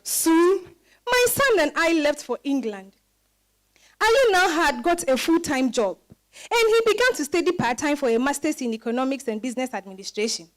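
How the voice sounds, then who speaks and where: Woman acting high-voiced, expressive wife telling her story. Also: normal speed. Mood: weary, rueful. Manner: expressive storytelling with dramatic emphasis. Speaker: actor in a dramatized reading. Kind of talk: dramatized first-person literary monologue.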